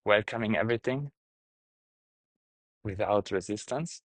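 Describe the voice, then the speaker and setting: A man guiding a meditation, speaking gently in short, halting phrases with pauses. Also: on the low side, no echo. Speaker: meditation teacher, guide. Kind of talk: guided meditation, unscripted instruction.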